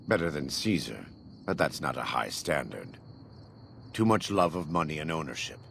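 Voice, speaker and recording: A devout, vengeful former warlord in a video game. His voice is extremely low, with a heavy gravelly creak. He speaks with solemn, measured, preacherly conviction, over faint background noise. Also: a bit bright, exaggerated voice. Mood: solemn, disapproving. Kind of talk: video game dramatic dialogue.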